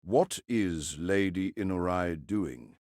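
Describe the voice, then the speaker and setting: A woman voicing a stern, bitter older male lord in an audiobook story, with a measured, clipped, aristocratic manner. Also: rumbling low, lively intonation, a little mellow, no room sound, clean studio sound, voice pushed down, full character voice. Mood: curt.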